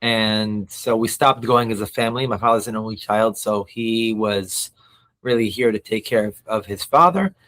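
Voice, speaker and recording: A man in his thirties who grew up between the US and Israel, a podcast guest telling stories in a reflective, halting way. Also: fairly low pitch, pushed and bright. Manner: reflective, many restarts and fillers. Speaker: guest, nonprofit CEO. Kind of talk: interview.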